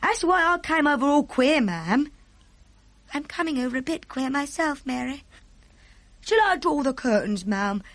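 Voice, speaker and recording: A woman's voice: high voice, lively intonation, a little slow, fairly bright tone, no room sound, pitched above the performer's own voice. A woman voicing a middle-aged maid in a storybook, deferential, fussy and easily flustered.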